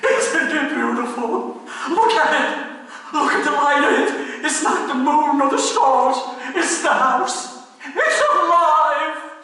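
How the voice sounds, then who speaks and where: A man's voice: extremely high pitch, takes it slowly, heavily acted. A half-mad gothic man, echoing hall. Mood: manic, exultant. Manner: melodramatic, swinging from hushed faltering to wild laughing cries. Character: grief-stricken, half-mad man unravelling into manic awe. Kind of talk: theatrical gothic radio drama acting. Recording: very echoey hall, distant mic, faint noise.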